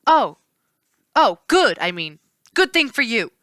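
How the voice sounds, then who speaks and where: Woman voicing a feisty, high-pitched river naiad girl. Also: sing-song, takes it slowly, sharp tone, no room sound, clean studio sound, full character voice. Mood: grudging, explanatory. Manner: sassy, scolding, emphatic. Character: feisty indignant young female river naiad.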